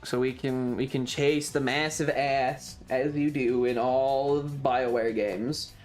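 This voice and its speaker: A streamer talks casually at a middling pitch over background music. The delivery rambles along with wry, jokey asides and some mild crudeness, as in a relaxed livestream chat. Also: slow pace.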